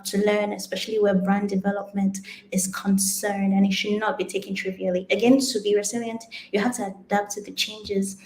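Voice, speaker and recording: A woman's voice: medium pitch, a little mellow. A woman speaking as a guest expert, giving earnest, emphatic advice in a semi-formal online talk. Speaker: guest speaker on business branding. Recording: roomy, echoey sound.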